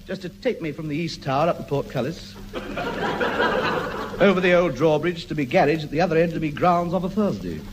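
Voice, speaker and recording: High-voiced English actor as bluffing chancer, very noisy. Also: male voice, animated, brisk, close to an everyday voice. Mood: evasive, grandiose. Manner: mock-grand, bluffing, slipping into colloquial speech. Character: shabby male chancer putting on lordly airs. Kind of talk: 1950s British radio comedy acting. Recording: very noisy old archive radio comedy recording.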